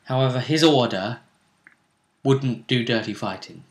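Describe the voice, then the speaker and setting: A man's voice: medium pitch, unhurried. A man chatting casually about a tabletop game, often rambling and restarting his phrases. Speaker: role-play show host. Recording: echoey room.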